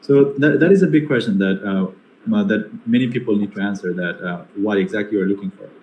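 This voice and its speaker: A man's voice: lowish voice, brisk, muffled recording. A young man from Bangladesh with a Bangladeshi accent, answering seminar questions with many fillers and restarts. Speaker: guest speaker. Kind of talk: online seminar Q&A. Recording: echoey room with faint noise.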